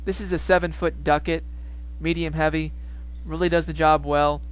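A young man giving calm, matter-of-fact fishing tips as a voice-over narrator. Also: on the high side, normal speed, clean tone, rough recording. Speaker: fishing video host.

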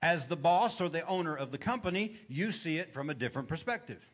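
A man's voice: fairly high pitch, expressive, brisk. A middle-aged male pastor preaching on a church stage into a handheld mic, often asking the congregation questions and calling for responses. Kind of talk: sermon. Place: church stage with brick wall.

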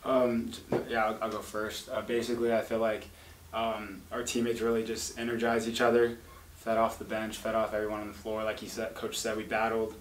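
Soft-spoken young male athlete, flat delivery, distant mic, faint hum. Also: on the low side, brisk, roomy sound. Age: young adult. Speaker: player. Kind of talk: post-game press conference.

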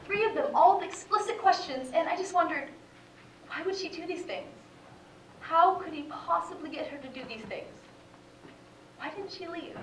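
An incredulous woman juror acted by a teenage girl speaks a halting, emphatic stage monologue in a high voice. It echoes around a theatre and is picked up from a distance. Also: a little hiss or hum. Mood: incredulous, exasperated. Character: troubled, incredulous twice-divorced female juror. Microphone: far camera.

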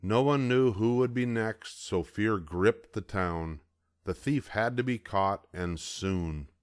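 An older man reads a children's picture book aloud with steady, even narration. His voice sits on the low side. The recording is close and dry, with no room sound. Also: slow, smooth, dry recording. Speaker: storybook reader.

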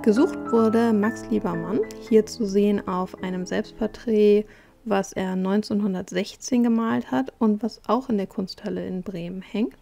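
A woman narrating a voice-over art quiz, explaining clues in a calm, measured way with small hesitations. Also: medium pitch, creaky, soft background music.

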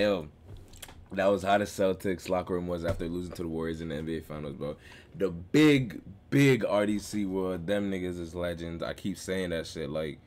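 A man's voice: low voice, darkish tone, a little hiss or hum. A young man hosting a reaction video from his bedroom, talking in loose slang and laughing often. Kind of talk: casual reaction commentary. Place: small bedroom with gaming chair.

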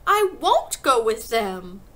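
A woman's voice: sits high, a little fast, sharp tone, pitched above the performer's own voice. A woman voicing a small young girl in a storybook, desperate and eager, with urgent, pleading exclamations.

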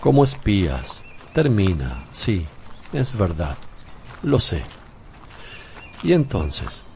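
An actor playing a calm, wise male teacher with gentle authority in a solemn religious radio play, speaking in a measured way. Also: low-pitched, creaky, a little hiss or hum, exaggerated voice. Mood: solemn, firm. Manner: measured, deliberate. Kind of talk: slow, solemn radio drama acting.

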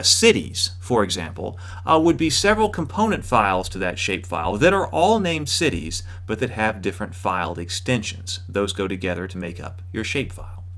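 A man's voice: fairly low pitch, fairly bright tone. A man in his thirties giving an explanatory video lecture as an instructor, emphatically restating and rephrasing his points for clarity.

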